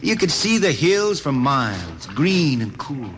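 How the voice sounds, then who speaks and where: A man's voice: medium pitch, very animated, measured pace. An actor playing a blind, wistful drifting spaceman balladeer with a rural Ozarks accent in a 1950s radio drama. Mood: homesick, sorrowful. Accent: rural Ozarks American. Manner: folksy, wistful. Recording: noisy old 1950s radio broadcast.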